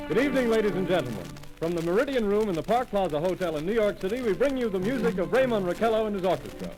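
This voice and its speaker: A chipper hotel-ballroom announcer from old-time radio, who talks fast in a high, lively voice. A dance orchestra plays behind him, and a ballroom crowd chatters clearly. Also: male voice, over music, poor audio. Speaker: hotel band-remote announcer.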